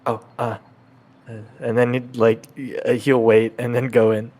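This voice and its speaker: A man's voice: mid-range voice, flat delivery, measured pace, a little mellow. A man improvising role-play as a player in a tabletop game, with a hesitant, tentative, stammering manner. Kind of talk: improvised role-play, describing and speaking for his character.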